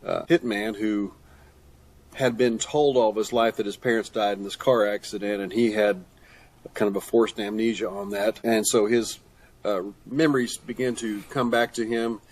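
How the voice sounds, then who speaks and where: Older man with a lowish voice. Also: steady tone, moderate pace. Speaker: guest author, retired pastor and teacher. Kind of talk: conversational interview answers.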